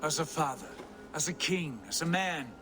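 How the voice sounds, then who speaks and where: Arrogant Viking prince, video game drama, male actor: lighter-pitched, declamatory, embittered visionary, strong music underneath. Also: moderate pace, natural voice. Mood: cold scorn. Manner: haughty, declamatory. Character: proud, bitter, visionary Viking prince scorning his father. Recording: music behind the voice.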